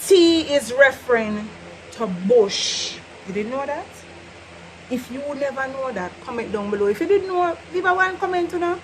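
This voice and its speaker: A young woman from Jamaica with a Jamaican accent, teaching patois in an animated, laughing casual vlog. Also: sits high, normal speed, background noise. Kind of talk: casual chatty lesson.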